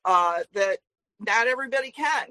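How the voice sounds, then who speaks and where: Bright, earnest woman guest. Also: on the high side, expressive, moderate pace, no room sound, poor audio. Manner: earnest, talkative, many fillers. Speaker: guest, traveling minister. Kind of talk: interview guest talk.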